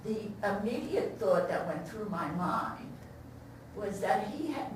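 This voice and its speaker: A woman's voice: mid-range voice, animated, slow, heavy reverb, noisy background. A woman giving informal spoken feedback as an audience member at a talkback, picked up by a distant mic in a room. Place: indoor room at a staged reading talkback.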